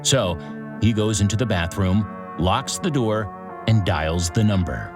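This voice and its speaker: Low-voiced, dramatic crime show host. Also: male voice, lively intonation. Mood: suspenseful. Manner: dramatic, deliberate short sentences with suspenseful pauses. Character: theatrical true-crime show host, wry storyteller. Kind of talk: scripted true-crime narration. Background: music.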